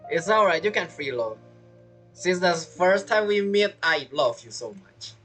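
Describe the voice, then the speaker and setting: Lively low-voiced woman gamer. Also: measured pace, faint music behind. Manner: chatty, playful. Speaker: gameplay streamer. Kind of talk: casual game commentary.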